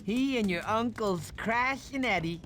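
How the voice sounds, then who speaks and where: A man voicing a chatty, warm-hearted, goofy sloth who tells folksy, rambling stories in an animated game. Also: sits high, unhurried, soft background music. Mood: fond storytelling. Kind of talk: animated game cutscene narration.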